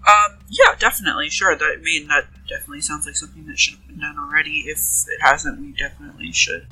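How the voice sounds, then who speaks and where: Woman playing a very bright-voiced inquisitive detective. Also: low-pitched, very animated, fast, slightly rough, heavily acted, poor audio. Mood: tentative, curious. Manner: questioning, tentative, rephrasing as she goes. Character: inquisitive female police detective, earnest, politely probing. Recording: music under the voice.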